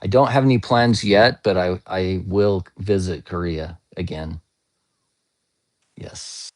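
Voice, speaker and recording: A man speaks slowly in a low, hoarse, scratchy voice. He chats casually with viewers during a live-stream English lesson. He explains patiently and often spells words out letter by letter. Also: fairly even intonation, a little mellow. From United States.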